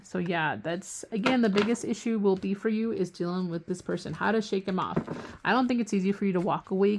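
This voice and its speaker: Woman tarot reader, low-ish pitch, gravelly voice going hoarse, loose rambling delivery with casual swearing. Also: background noise. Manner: rambling, often restarts sentences. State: losing her voice. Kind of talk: casual tarot reading to camera.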